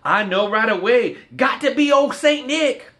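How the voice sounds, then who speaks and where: An excitable, folksy Cajun man tells a rhyming storybook tale. His voice is pitched very high and swoops boldly, with a bright, exuberant, declamatory edge. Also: a little slow, heavily acted. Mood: excited, amazed. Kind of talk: rhymed storybook dialect read-aloud.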